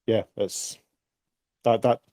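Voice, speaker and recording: A man's voice: fairly low pitch, flat delivery, slow pace, mellow, no room sound, studio quality. A man with a Scottish accent answering questions on a casual group call, speaking frankly and repeating words as he thinks. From Scotland. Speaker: project co-founder answering questions.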